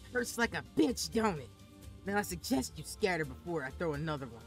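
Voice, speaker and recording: A man voicing an ancient, vulgar and fiercely protective grandmother in a storybook reading, with a gruff drawl, over music. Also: on the high side, moderate pace, a bit bright, close to an everyday voice. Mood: scornful.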